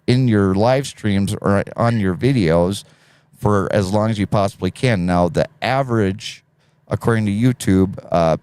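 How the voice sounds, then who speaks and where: Deep-voiced man hosting a live stream. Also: a little mellow, clean tone, no room sound. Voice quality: deep radio voice. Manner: laid-back, reads chat messages aloud, laughs often. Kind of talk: casual live stream chat.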